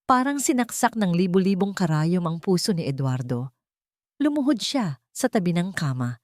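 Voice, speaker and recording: A woman's voice: lowish voice, sing-song, normal speed, darkish tone, no room sound, studio quality. A woman narrating a scripted audio story in a dramatic storytelling style.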